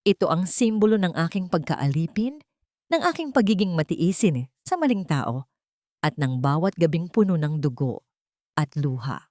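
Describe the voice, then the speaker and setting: A woman narrating a scripted first-person romance story in a measured, dramatic and sentimental way. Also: on the low side, darkish tone, no echo, clean studio sound.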